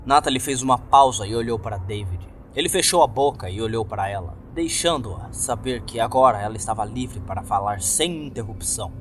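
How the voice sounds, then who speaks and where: A man's voice: mid-range voice, expressive, a little fast, few pauses, fairly bright tone. A man with a Brazilian accent reading a scripted horror story as a dramatic narrator. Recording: music bed behind the voice. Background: background music.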